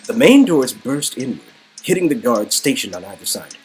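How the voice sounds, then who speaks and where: A man's voice: mid-range voice, big pitch swings, normal speed, very noisy. A man from Canada narrating an interactive story game as its reader. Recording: music under the voice.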